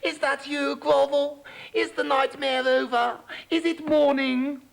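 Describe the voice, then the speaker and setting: A man doing a squeaky panicky frog prince. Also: flat delivery, slow pace, vocal fry, exaggerated voice. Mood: sleepy, confused. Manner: squeaky, panicky, plummy indignation. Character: frightened prim young frog prince.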